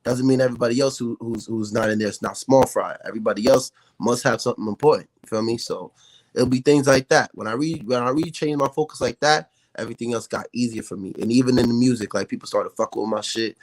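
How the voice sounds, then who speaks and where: A man's voice: medium pitch, quick pace, no echo, rough recording. An American man, a rapper, talking casually as a podcast guest with slangy speech and frequent check-in tags. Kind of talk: casual interview chat.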